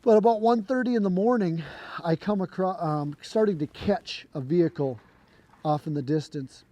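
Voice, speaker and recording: Hoarse man telling a road story. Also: high voice, normal speed, no echo, muffled recording. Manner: relaxed, rambling, with fillers and restarts. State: hoarse, voice not recovered after a cold. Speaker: trick shooter and channel host. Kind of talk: casual storytelling to camera. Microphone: camera mic.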